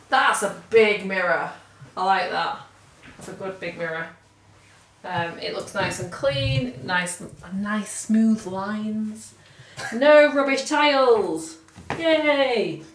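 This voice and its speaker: A woman's voice: mid-range voice, slow pace, slight background noise. A woman in her thirties with a British accent, vlogging in a chatty, informal way to a handheld camera in a hotel room. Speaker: family travel vlogger. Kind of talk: casual vlog chat to camera. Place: small hotel room and bathroom. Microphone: handheld camera at arm's length.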